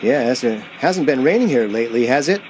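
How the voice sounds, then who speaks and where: A man's voice: mid-range voice, animated, normal speed, darkish tone, slight background noise, close to an everyday voice. An actor playing an uneasy man driving alone, narrating a radio play in a hesitant, dramatic way. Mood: nervous questioning.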